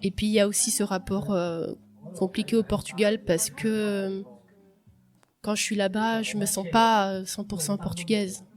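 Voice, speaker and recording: A young woman from France giving a reflective, hesitant personal testimony on a podcast, with many pauses and restarts. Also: medium pitch, steady tone. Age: young adult. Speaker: podcast guest.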